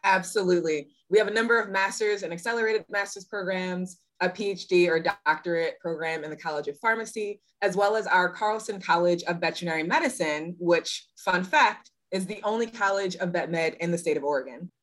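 A woman's voice: fairly low pitch, brisk, hardly any pauses, a bit bright. A woman co-hosting a podcast in an upbeat, friendly conversational style. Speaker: podcast co-host, admissions advisor.

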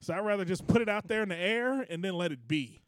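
A man's voice: high voice, lively intonation, fast talker, clean tone. A man co-hosting a studio comedy podcast, teasing and laughing often in casual banter. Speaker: podcast co-host.

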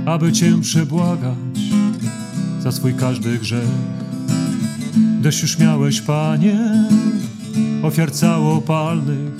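Low-voiced woman singing a slow devotional song. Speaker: singer. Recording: acoustic guitar accompaniment under the voice. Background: loud plucked acoustic guitar music.

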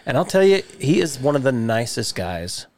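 A man's voice: medium pitch, big pitch swings, normal speed, a little mellow, dry recording. A man guesting on a podcast, laid-back and swearing now and then as he tells an anecdote. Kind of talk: casual banter and storytelling.

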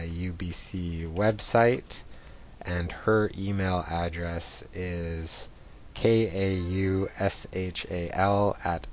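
A man hosting a podcast, explaining a topic in a measured, scripted way. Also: sits low, muffled recording, a little hiss or hum. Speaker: podcast host.

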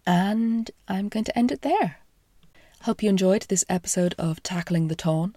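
Woman, croaky voice, podcast storyteller, slow half-whispered delivery, close dry sound. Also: mid-range voice, warm tone, dry recording. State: croaky from a chest infection. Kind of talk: dramatic retelling of a myth.

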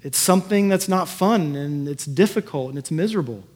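A man's voice: on the high side, expressive, a little fast, a little mellow, breathy edge. A man preaching as a pastor in a conversational sermon, earnest and given to emphatic repetition. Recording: echoey hall with long reverb.

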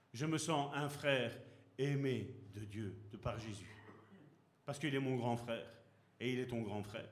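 A man preaches with fervor, his voice sitting high for a man and carrying through a roomy, echoing hall. He pastors a congregation and keeps pressing them with rhetorical questions. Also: fairly bright tone. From Belgium. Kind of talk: sermon. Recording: echoey hall.

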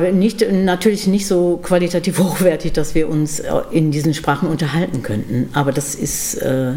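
A middle-aged woman musician being interviewed, talking thoughtfully with many pauses and fillers. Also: low voice, mellow. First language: German. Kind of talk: casual interview conversation.

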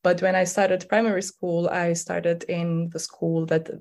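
A woman in her forties whose first language is Albanian, speaking English as a playwright guest in an unhurried, hesitant way, with many fillers and restarts. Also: lowish voice, little pitch movement, darkish tone. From Kosovo. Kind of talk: conversational interview.

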